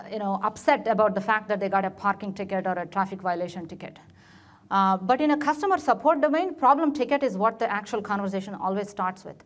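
A middle-aged woman with an Indian English accent giving a technical presentation in a lecture room, with many fillers and restarts. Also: fairly high pitch. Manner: frequent fillers and restarts, gestures at slides. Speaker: conference speaker. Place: lecture room, presenting in front of a projected slide.

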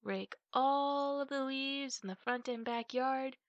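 A woman playing a playful, teasing, affectionate big sister in an ASMR roleplay, chatting to the listener with drawn-out words and hushed asides. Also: high voice, no room sound, lo-fi sound. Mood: playful, eager.

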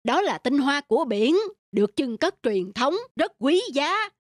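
A woman's voice: high-pitched, big pitch swings, measured pace, dry recording, studio quality. A woman voicing a stingy old male landowner in a folk-tale cartoon, lecturing and scolding, with music behind. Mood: preachy.

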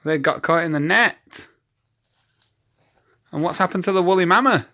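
A man's voice: high-pitched, moderate pace, sharp tone, a little hiss or hum. A man talking casually to a young child in a bedroom, asking prompting questions and encouraging the answers. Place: small bedroom, indoors.

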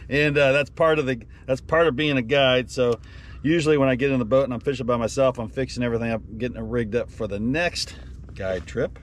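A middle-aged man, a fishing guide, talking casually from his boat on a lake into a headset mic, relaxed and rambling with lots of ums. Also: medium pitch, a little fast, slight background noise. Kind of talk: casual fishing vlog chat.

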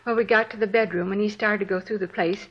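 Shaken, plain-spoken American housewife, played by an actress. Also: female voice, medium pitch, steady tone, quick pace, husky, natural voice. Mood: recalling. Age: 40s. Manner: plain-spoken, dropping sentence openings. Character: shaken, indignant forty-two-year-old housewife, crime victim. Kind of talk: 1950s radio drama acting. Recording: dull vintage radio recording.

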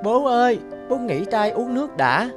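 A woman's voice: a little high, big pitch swings, moderate pace, music in the background. A woman playing a sweet, devoted little girl in a cartoon, with a pleading, childlike manner. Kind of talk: cartoon dialogue acting.

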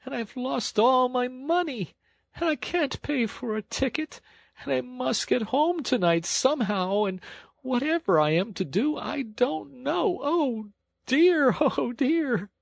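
A storybook toad disguised as a poor washerwoman, played by a man, in a very high, swooping falsetto. The delivery is self-pitying and theatrical, full of sobs and wails, with a slightly husky edge. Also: expressive, slow pace, no echo, exaggerated voice. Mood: tearful wailing. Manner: wailing, theatrical, sobbing. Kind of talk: storybook character acting.

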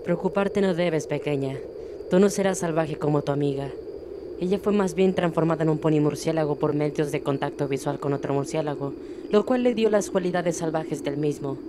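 A woman's voice: sits low, a little fast, noisy background, lightly acted. A woman with a Latin American Spanish accent voicing an ancient, patient alicorn princess in a cartoon comic fan dub, speaking formally and solemnly. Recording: music under the voice.

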